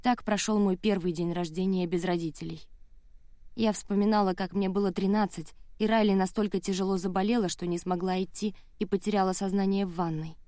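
A woman's voice: mid-range voice, fairly even intonation, brisk, mellow, no echo. A woman audiobook narrator reading a dramatic first-person novel, close on the mic in a studio.

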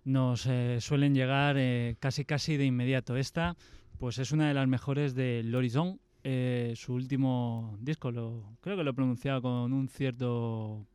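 A man's voice: mid-range voice, steady tone, unhurried, darkish tone, clean tone, no room sound. A man from hosting a radio music show, chatting casually and jokingly with frequent hesitant fillers.

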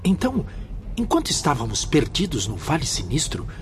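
Inquisitive, higher-pitched rescued soul. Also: male voice, huge pitch swings, moderate pace. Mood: astonished. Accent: Brazilian Portuguese. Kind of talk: Brazilian radio drama acting. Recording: noisy background.

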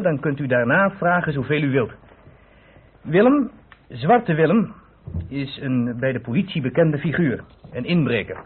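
A self-assured police inspector in a radio drama. His husky voice moves in lively swings, brisk and sure of itself, through a tinny, narrow old broadcast sound. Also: a little high. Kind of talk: radio play acting.

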